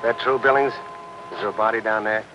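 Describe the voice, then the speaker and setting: A man's voice: fairly low pitch, a little fast, natural voice. An actor playing a brusque, authoritative policeman giving curt orders in a 1950s television crime drama. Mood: stern, impatient. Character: brusque, commanding uniformed policeman. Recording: dull, noisy old 1950s film soundtrack.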